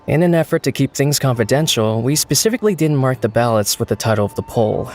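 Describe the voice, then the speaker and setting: An actor playing an earnest, dutiful event organizer in an anime game, speaking politely and formally. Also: male voice, mid-range voice, animated, a little fast, soft background music, natural voice. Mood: regretful.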